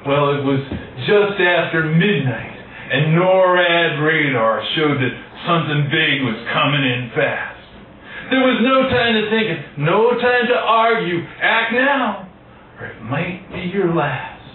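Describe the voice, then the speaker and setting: A man reading poetry aloud to a live room, declaiming in short, measured phrases with weighty pauses. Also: fairly high pitch, expressive, a little hiss or hum. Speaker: poet, featured reader. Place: indoor cafe venue at a live reading. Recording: roomy, distant, muffled live recording.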